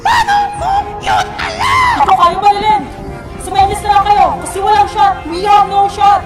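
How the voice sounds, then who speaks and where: Lady doing a super high panicky girl, yelling, bouncy pitch. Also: expressive, normal speed, loud music behind the voice, a loud crowd. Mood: panicked. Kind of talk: Tagalog fan dub acting.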